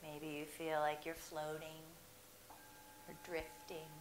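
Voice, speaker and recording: A woman leads a guided meditation in a low, unhurried voice. She stretches her phrases out and leaves long pauses between them. The recording has a roomy echo and a faint hiss. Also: completely flat delivery. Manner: slow, soothing, drawn-out phrasing with long pauses. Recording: echoey room with faint hiss.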